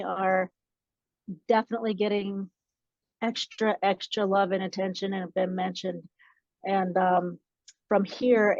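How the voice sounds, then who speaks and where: A woman gives a thankful, enthusiastic update while calling in from an airport. Her pitch stays fairly flat, and the phone audio sounds dry and dull. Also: no room sound. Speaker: meditation call participant. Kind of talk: casual spoken update.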